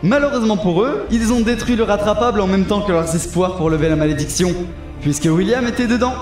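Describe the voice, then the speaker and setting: A man's voice: sits high, lively intonation, quick pace, darkish tone, echoey room, soft background music. A man narrating an audio drama in an offhand, self-mocking, jokey way.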